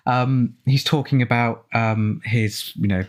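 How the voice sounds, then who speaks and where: A man chatting casually in a discursive, thoughtful way, as in a relaxed film commentary. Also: medium pitch, unhurried. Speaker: author and film commentary speaker.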